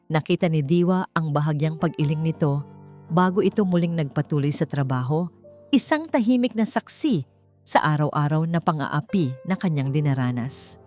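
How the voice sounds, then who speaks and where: A woman's voice: low voice, a little mellow. A woman narrating a scripted audiobook story in a dramatic storytelling style, with music behind her.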